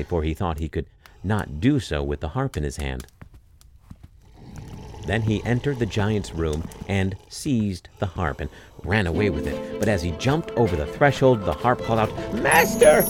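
A man narrating a fairy tale as an expressive storyteller, reading from a script. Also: sits low. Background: music under the voice.

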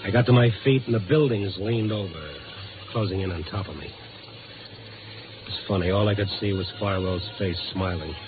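Actor as hard-boiled low-voiced reporter, vintage radio. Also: male voice, normal speed, music in the background, close to an everyday voice. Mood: brooding, weary. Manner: sardonic, hard-boiled, clipped. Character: wry, tough, stubborn male newspaper reporter. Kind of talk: 1950s radio noir drama with narration. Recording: narrow-band vintage radio broadcast.

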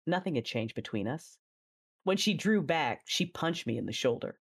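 Audio drama lead: hardened Sith mother, low-voiced, dramatic first-person narrator. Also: lively intonation, normal speed, slightly rough, heavily acted. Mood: measured, brooding. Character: hardened, ruthless Sith mother recounting her life.

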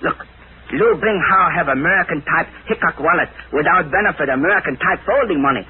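An actor in a 1940s radio drama playing a boastful comic Chinese private detective with a mock Chinese accent and pompous broken English. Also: male voice, on the high side, a little fast, husky. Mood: eager, boastful. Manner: pompous broken English, flowery self-praise. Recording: tinny, noisy old radio recording.

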